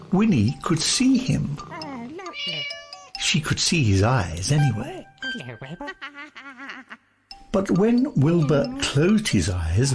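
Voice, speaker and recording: A woman reading a children's story in a deliberate, expressive way, with music behind her. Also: low-pitched. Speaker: storybook reader. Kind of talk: scripted children's story narration.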